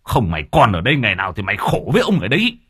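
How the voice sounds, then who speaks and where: A hot-tempered young father from a village, played by a male storyteller. His husky voice climbs sharply in pitch as he shouts and drops low when he softens. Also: wildly swooping pitch, very fast, heavily acted. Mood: furious. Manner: shouting curses, then tearful tenderness.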